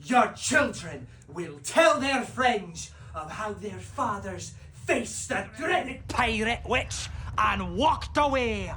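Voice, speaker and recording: Over noisy ambience, a swaggering pirate in an audio drama. He declaims very slowly and grandly, with bright, forceful swoops, taunting his captives like a true showman. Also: high voice, lively intonation, exaggerated voice. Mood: taunting, grandiose. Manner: hammy, grandiose, drawn-out delivery. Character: swaggering theatrical pirate taunting captured Spanish sailors.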